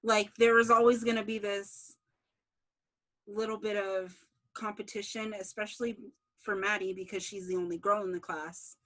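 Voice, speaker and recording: A woman speaks at a very unhurried pace in a casual interview. She explains her creative work informally, as the creator answering questions, with a mid-range voice. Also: medium pitch, a bit bright, poor audio. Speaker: series creator being interviewed.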